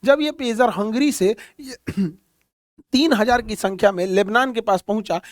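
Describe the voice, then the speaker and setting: A man's voice: high-pitched, measured pace, no echo, clean studio sound. A man teaching a current-affairs lesson in a plain explaining manner, pointing things out on a map and using many fillers. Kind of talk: explainer lecture.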